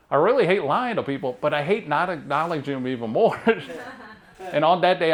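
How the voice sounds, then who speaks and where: This is a man in his thirties with a drawl that sounds like the American South, though he grew up near Baltimore. His voice sits a little high and moves through a lively, wide range. He tells self-deprecating stand-up jokes in a deadpan way. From Maryland, near Baltimore. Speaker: stand-up comedian. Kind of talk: stand-up comedy set.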